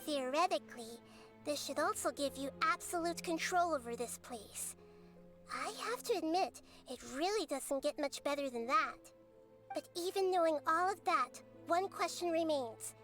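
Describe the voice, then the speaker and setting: Casting: a tiny wise girl goddess, very high and calm, measured and analytical, video game. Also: faint music behind. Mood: calm probing. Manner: composed, gently analytical. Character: small girl goddess of wisdom, calm, perceptive. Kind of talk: game cutscene dialogue.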